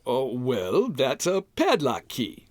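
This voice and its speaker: Man acting a folksy, animated radio-comedy husband. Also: medium pitch, takes it slowly, background noise. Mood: proudly defensive. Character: folksy, stubborn old-time sitcom husband, pack rat. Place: recorded at home, dry and close.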